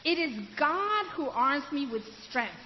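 Slow, high-voiced woman preaching. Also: big pitch swings. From Ecuador. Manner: asks the audience questions and answers them; slows to stress key words. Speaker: women's ministry speaker. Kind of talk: sermon. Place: room with an audience. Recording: dull, hissy recording in a reverberant hall.

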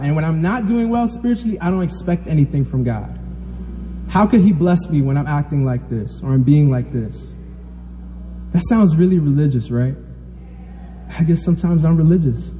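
Man, earnest preacher, mellow tone, high voice, echoey hall. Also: slight background noise. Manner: earnest, encouraging. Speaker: lay preacher. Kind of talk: short church message.

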